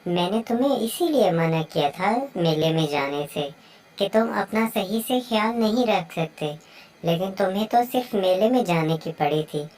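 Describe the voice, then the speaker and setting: A woman's voice: mid-range voice, animated, normal speed, a little mellow, far from the mic, close to an everyday voice. A woman voicing a caring but strict mother bird in a children's moral story cartoon. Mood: scolding.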